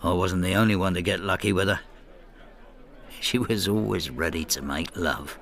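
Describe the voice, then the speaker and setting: An actor playing a bitter, hard-drinking old husband in a radio drama, brooding and sardonic with a husky voice. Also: male voice, low-pitched, moderate pace, a bit bright. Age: older. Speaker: lead actor and narrator. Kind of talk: radio drama acting, confessional narration.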